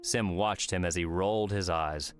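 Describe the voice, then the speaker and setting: A man's voice: deep voice, normal speed. A man reading scripted audiobook narration as the narrator of a novel. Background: soft music.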